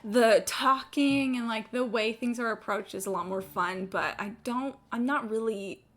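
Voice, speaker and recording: A woman's voice: a little high, a little slow, fairly bright tone. A woman giving casual live game-stream commentary in a sarcastic, exasperated, sweary manner. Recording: game music behind.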